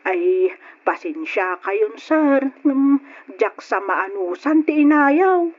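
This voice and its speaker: A woman's voice: a little high, animated, slow pace. A woman playing a worn-out, apologetic helper in a radio drama, hesitant, pleading and deferential. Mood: flustered, apologetic. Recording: dull lo-fi radio drama recording.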